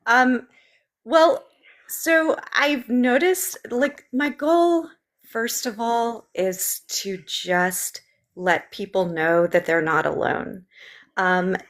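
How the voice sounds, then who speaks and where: A woman's voice: fairly high pitch, extremely slow. A woman with a Southern accent, originally from Memphis, earnestly answering interview questions as a political candidate, with many ums in long, run-on sentences. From Memphis, Tennessee. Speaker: state legislative candidate.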